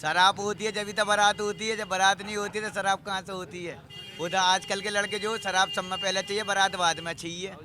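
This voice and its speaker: Male passer-by, high and very sharp, blunt colloquial street banter. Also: flat delivery, fairly quick, soft background music. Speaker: member of the public. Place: outdoors on a street.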